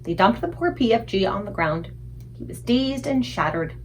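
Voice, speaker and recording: A woman reading a children's book aloud in an expressive storytelling style. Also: medium pitch, normal speed, poor audio. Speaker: read-aloud book reader.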